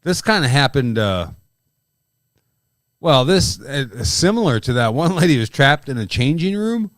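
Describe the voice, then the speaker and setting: A man's voice: medium pitch, sing-song, normal speed, fairly bright tone, no room sound, studio quality. A man co-hosting a casual podcast, joking crudely and swearing often. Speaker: co-host.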